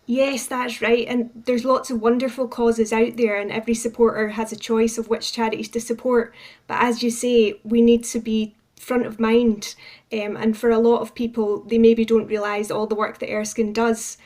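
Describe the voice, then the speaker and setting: A woman's voice: on the high side, flat delivery, moderate pace, a little mellow. A woman with a Scottish accent giving explanatory answers as a charity manager in a radio interview.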